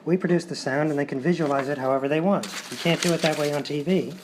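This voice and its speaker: A man plays an eager radio comedy presenter. He talks fast in an earnest, insistent, explanatory way, with faint noise behind him. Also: on the high side, lightly acted. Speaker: show host. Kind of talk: live radio sketch comedy.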